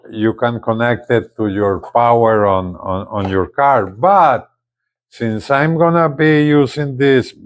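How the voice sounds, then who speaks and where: A man presenting a casual unboxing video, speaking haltingly and often repeating his words. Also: mid-range voice. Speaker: gadget reviewer, video host.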